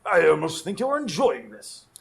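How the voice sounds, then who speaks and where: A man playing a detective's older companion, narrating a radio drama in a measured, wry way. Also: fairly high pitch, big pitch swings, gravelly. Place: performance space in front of a live audience.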